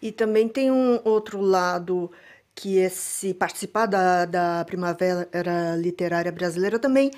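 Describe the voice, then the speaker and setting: Low-voiced woman interviewer. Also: measured pace, darkish tone. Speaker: radio interviewer. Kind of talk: radio interview questions.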